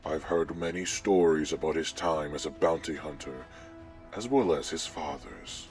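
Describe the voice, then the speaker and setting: A man playing a menacing, commanding Sith lord in a sci-fi audio drama, speaking in a measured, imperious way with a cold, taunting edge. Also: extremely low pitch, a little mellow. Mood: measured, ominous. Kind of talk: scripted sci-fi audio roleplay acting. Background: background music.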